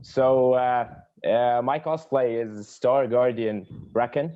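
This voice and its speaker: A man from Egypt who speaks slowly and playfully. His pitch is middle and the call audio is dull. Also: dark tone. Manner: playful, laughing. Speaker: contestant.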